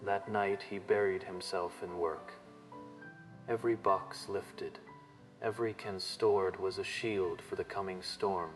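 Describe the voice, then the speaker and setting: A man's voice: sits low, fairly even intonation, a little slow, faint music behind. A man narrating a dramatic manga story from a script.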